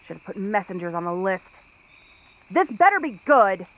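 A woman's voice: mid-range voice, sing-song, moderate pace, highs cut off, slight background noise, close to an everyday voice. A woman playing a cranky, sarcastic exiled princess cursed into a frog girl, in a fantasy audio roleplay. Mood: irritated, bratty. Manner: snappish, sarcastic, tsundere tomboyish bluster. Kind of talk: fantasy ASMR roleplay acting.

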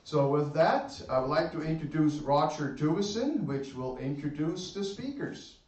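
Older man, club commodore, plain unhurried remarks, roomy hall sound, heard from afar. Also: fairly high pitch, animated, darkish tone. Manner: plain, unhurried, with frequent "uh" pauses. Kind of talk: welcoming remarks at a club talk. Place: club meeting room with a seated audience. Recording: roomy, distant, dull room recording.

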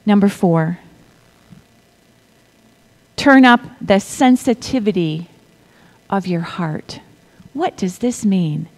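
A middle-aged woman preaching a sermon, reading scripture in a measured way and dropping to a whisper for effect. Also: medium pitch, animated, poor audio. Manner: slow, deliberate delivery with pauses and soft whispered stretches; asks the congregation to repeat lines.

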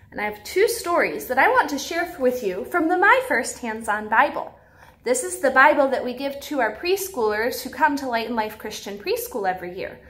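A young woman with an American accent talks to young children as their teacher. Her voice sits high and swoops expressively from word to word. She phrases things simply, in the animated manner of a read-aloud. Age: young adult. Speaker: preschool teacher. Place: small classroom, indoors.

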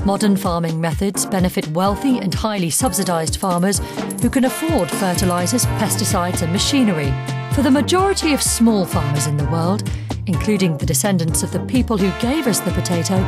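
Woman, documentary narrator, scripted delivery, medium pitch, music underneath. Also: animated, a little fast. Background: background music.

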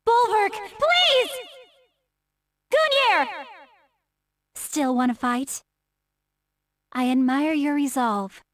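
A woman's voice: high voice, expressive, drawn-out words, long pauses, a bit bright, dry recording, exaggerated voice. A woman playing an earnest, determined young female soldier in a fighting game, giving short, emphatic battle calls. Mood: calm, resolute.

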